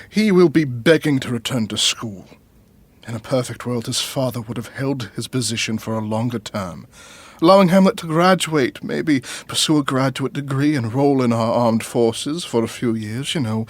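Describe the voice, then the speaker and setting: An actor playing a middle-aged, ambitious royal brother and devoted lover in an audio drama, husky and formal, with measured, pompous phrasing. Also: male voice, mid-range voice, no echo, close to an everyday voice.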